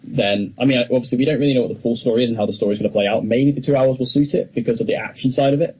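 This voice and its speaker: A man's voice: mid-range voice, racing, darkish tone, slightly rough, rough recording. A young man co-hosting a podcast, talking casually and chattily about films with firm opinions. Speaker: podcast co-host. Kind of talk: casual film discussion.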